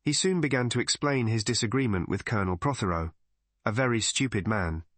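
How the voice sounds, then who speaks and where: A man's voice: on the low side, expressive, fairly quick, dry recording. A man narrating a scripted mystery story as an audiobook reader.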